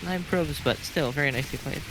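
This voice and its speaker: A woman from Southeast Asia with a deep voice, calling a game as co-caster in a dry, matter-of-fact way. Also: brisk, background noise. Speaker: guest co-caster. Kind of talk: live game commentary. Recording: commentary over game music.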